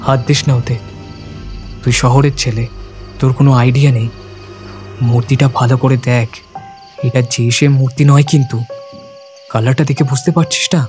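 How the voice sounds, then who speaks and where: A man's voice: medium pitch, steady tone, moderate pace, pauses often, darkish tone, faint music behind, natural voice. An actor playing a frightened village boy in an audio horror drama, pleading in a panicky, breathless way. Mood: alarmed.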